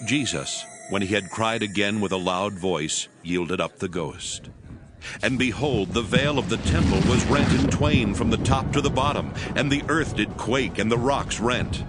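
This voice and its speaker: Deep solemn male scripture narrator. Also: over music. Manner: solemn, measured reading.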